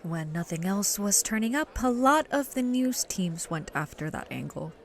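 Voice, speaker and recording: An actress playing a grave, seasoned woman reporter giving a measured, solemn interview in an audio drama. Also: medium pitch, expressive, close to an everyday voice. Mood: somber. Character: grave, seasoned female reporter recalling an old case.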